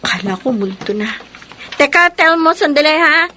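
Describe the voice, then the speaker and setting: A woman's voice: sits high, expressive, moderate pace, pushed and bright, a little hiss or hum. A woman playing a frightened but resolute young witness in a radio drama. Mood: anxious.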